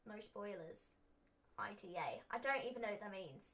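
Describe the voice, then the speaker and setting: A woman's voice: mid-range voice, lively intonation, normal speed, fairly bright tone. A young woman hosting a wrestling recap livestream, chatting casually, rambling and opinionated with lots of fillers.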